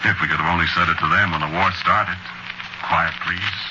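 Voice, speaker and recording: A man playing a gentle, soft-spoken husband in a 1940s radio drama, mild and measured in manner. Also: deep voice, fairly even intonation, lightly acted. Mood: quiet, reflective. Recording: muffled, noisy 1940s radio broadcast.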